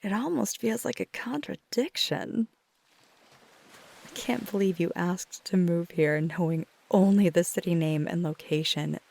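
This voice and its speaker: A woman voicing a flirty, caring female vampire in an intimate ASMR roleplay, speaking softly and often in a whispery voice, thoughtful and affectionate. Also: fairly low pitch, measured pace. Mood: amused, teasing. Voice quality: soft, breathy, often whispery. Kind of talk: ASMR roleplay, intimate close address to listener.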